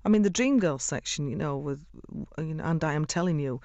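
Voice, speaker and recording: A woman's voice: low voice, animated, fairly quick, no echo, highs cut off. Middle-aged woman, singer on a radio chat, gushing and laughy, dry studio sound. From Sheffield, England. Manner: warm, effusive, laughs often. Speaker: singer, interview guest. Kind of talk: radio interview.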